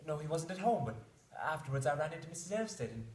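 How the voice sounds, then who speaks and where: A man's voice: on the high side, very animated, fast talker, a little mellow. A man playing a naive, fretful young scholarly husband in a stage drama, stammering and often ending remarks with "eh?". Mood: worried. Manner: stammering, flustered, ends remarks with "eh?". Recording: roomy echo, distant microphone.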